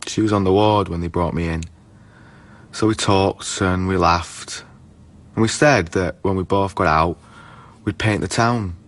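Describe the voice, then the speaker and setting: A man playing a remorseful, tender former junkie, telling his story in measured rhyme in a poetic radio drama. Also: low voice, fairly bright tone. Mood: wistful, confessional. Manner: measured, rhyming, confessional storytelling.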